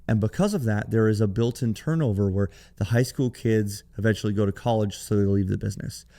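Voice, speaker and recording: A man hosting a podcast alone, talking in a conversational, explanatory way, his voice hoarse and raspy from cheering. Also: fairly low pitch, a little fast, warm tone. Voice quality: gravelly, raspy. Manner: conversational, explanatory, with some restarts. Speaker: podcast host. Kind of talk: solo business podcast talk.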